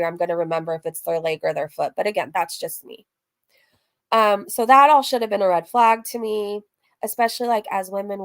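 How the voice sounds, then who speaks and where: A woman streamer chatting casually through a recap, reading messages aloud, candid and rambling, breaking off into asides and dropping casual swear words. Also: medium pitch. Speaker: streamer and host of a reaction livestream.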